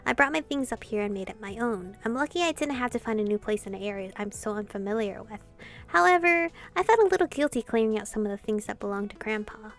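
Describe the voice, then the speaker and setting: A woman doing casual, expressive gameplay commentary, reading visual novel dialogue aloud and adding sweary asides. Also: medium pitch, quick pace. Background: game music.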